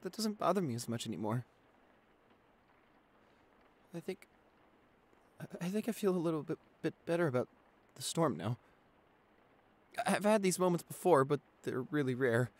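A man playing a shy, anxious young boyfriend in an ASMR roleplay, speaking softly with hesitant stammers. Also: a little high, animated, moderate pace. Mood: calming down. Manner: hesitant, stammering, soft-spoken. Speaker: audio roleplay performer.

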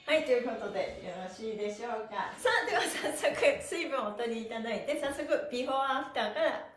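A woman's voice: a little high, animated, fairly quick, a little hiss or hum. A middle-aged woman hosting a livestreamed stretching lesson, with a cheerful, chatty manner and sing-song counting. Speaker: stretching class host, mime instructor. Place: small bare indoor room. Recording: echoey room with music.

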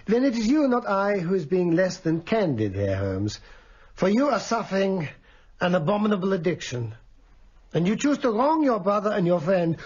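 An actor playing an earnest, authoritative male doctor in a radio drama, speaking in a formal, measured, insistent manner. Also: high voice, husky, highs cut off, full character voice. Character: Sigmund Freud, earnest, compassionate, authoritative Viennese doctor.

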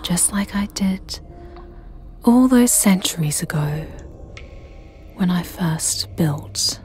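A woman playing a sinister, jealous living porcelain doll maid in a dramatic ASMR roleplay, speaking in a breathy whisper with a menacing, savoring delivery. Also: lowish voice, expressive, darkish tone, slight background noise. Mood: gloating, vicious. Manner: slow, menacing, theatrical, savoring phrases. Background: music.

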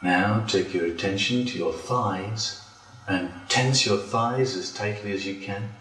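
Guy doing guided yoga relaxation, really slow and deliberate, echoey room, kinda far from the mic. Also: fairly low pitch, a bit bright, a little hiss or hum. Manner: slow, deliberate, pausing between phrases. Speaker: yoga instructor. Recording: roomy echo, distant from mic.

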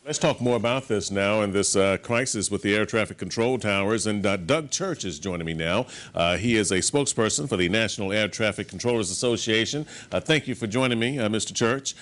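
A man's voice: lowish voice, brisk, few pauses, fairly bright tone. A man hosting a news programme, presenting stories and interviewing guests. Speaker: news host.

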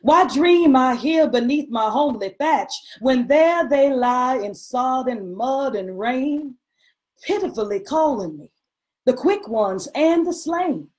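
A woman giving a dramatic poetry recitation in a measured, solemn and impassioned manner. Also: fairly high pitch, darkish tone.

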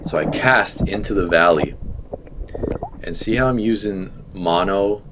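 A man's voice: low-pitched, unhurried, background noise. A man in his thirties narrating his own fishing video with enthusiasm, explaining as he goes. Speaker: fishing vlogger. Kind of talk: casual voice-over narration.

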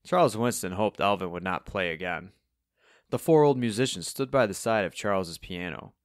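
Low-voiced male audiobook narrator. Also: expressive, moderate pace, no room sound, studio quality. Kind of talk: scripted fiction narration.

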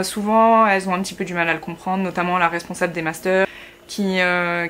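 A woman's voice: lowish voice, fairly bright tone. A young French woman in her twenties, chatting casually to camera from her bedroom, with lots of fillers and wry asides. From France. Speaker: vlogger, master's student. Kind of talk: casual vlog chat. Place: small bedroom indoors.

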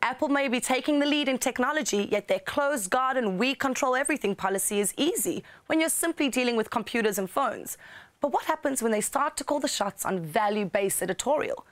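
A young woman hosting a news magazine show, reading a script briskly with wry asides in a studio. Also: high-pitched, expressive, no echo. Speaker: show host. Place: studio with blue screen.

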